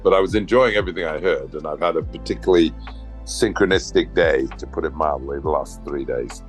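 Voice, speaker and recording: A man's voice: rumbling low, brisk, soft background music, low-quality recording. A man in his sixties introducing himself on a video call, in a rambling, earnest way, with spiritual phrasing. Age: 60s. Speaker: meetup participant, systems designer.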